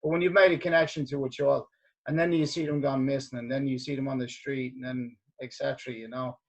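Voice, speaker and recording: A man's voice: mid-range voice, flat delivery, fast talker, poor audio. A man hosting a podcast interview, speaking earnestly with many stumbling restarts. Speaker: podcast host.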